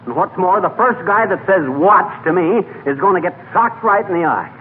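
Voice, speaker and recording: Excitable high-pitched grumbling husband, old radio. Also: male voice, fairly quick, narrow, tinny audio. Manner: folksy, blustering, slangy. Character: excitable, grumbling, slangy small-town husband and hapless salesman. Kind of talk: 1940s radio comedy acting. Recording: scratchy, thin 1940s radio recording.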